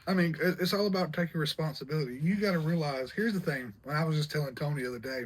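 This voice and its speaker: A young man in his late twenties talks in a loose, rambling way, circling back over his words and leaning on "you know." His voice sits a little higher than most men's. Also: rapid-fire, poor audio. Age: 20s. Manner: rambling, repeats himself, many fillers. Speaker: vlog host. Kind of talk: casual chat.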